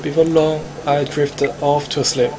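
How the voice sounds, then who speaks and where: Higher-pitched Hong Kong man reading aloud. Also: level tone, measured pace, noisy background. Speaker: streamer reading the protagonist. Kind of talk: live visual novel read-aloud.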